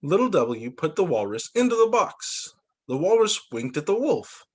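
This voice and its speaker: A man working as a teacher, reading children's storybooks aloud in an expressive way. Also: high voice, normal speed. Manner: expressive, acts out dialogue for young children.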